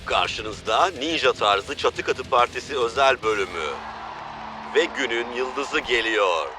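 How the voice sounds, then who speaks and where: Slow, swooping show announcer. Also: male voice, mid-range voice, expressive, pushed and bright, faint music behind. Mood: hyped. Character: hyped-up male reality-show announcer.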